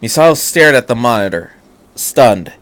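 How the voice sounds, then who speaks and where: A man's voice: mid-range voice, lively intonation, moderate pace, a bit bright. A man reading fan fiction aloud as a narrator, with an earnest, dramatic delivery.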